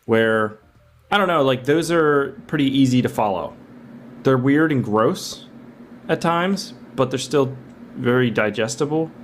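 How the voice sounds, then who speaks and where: A man's voice: mid-range voice, lively intonation, measured pace, a little hiss or hum. A man co-hosting a film podcast in casual, conversational discussion.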